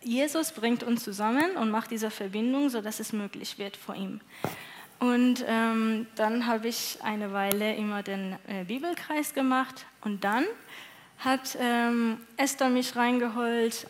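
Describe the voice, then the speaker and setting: A young woman from Iran with a Persian accent, a native Persian speaker, giving an earnest, halting testimony on a church stage with many fillers. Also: fairly high pitch. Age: young adult. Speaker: church moderator and interpreter.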